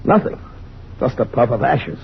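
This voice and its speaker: A man's voice: mid-range voice, animated, moderate pace, gravelly. Stunned military man, dull sound. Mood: stunned disbelief. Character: stunned, disbelieving military man reporting destruction. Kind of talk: 1950s radio drama acting. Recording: dull, lo-fi old radio, faint noise.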